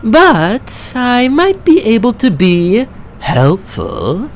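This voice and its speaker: A woman acting female roles in a comic book dub, from anxious wife to sly thief, in a measured, dramatic way. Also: fairly high pitch, wildly swooping pitch, slight background noise, full character voice. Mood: sly, cool.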